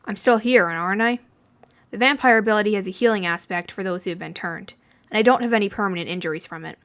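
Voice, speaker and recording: A woman voicing a young male mafioso, stern but gently reassuring, in a fan fiction reading. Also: medium pitch, quick pace, close to an everyday voice. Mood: calm, explaining.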